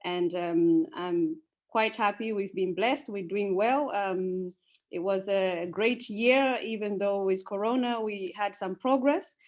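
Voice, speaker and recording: A young woman from Tanzania speaking to an audience and then telling a folk story, unhurried, with many ums and uhs. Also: medium pitch, lo-fi sound. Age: young adult. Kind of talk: storytelling talk.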